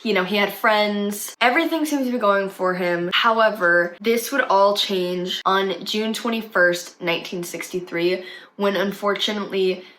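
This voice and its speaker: A woman's voice: medium pitch, normal speed, fairly bright tone, low-quality recording. A young woman with a slight cold, chatting casually as she tells a true crime story for her channel. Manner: chatty, conversational with fillers. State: has a cold, sniffly.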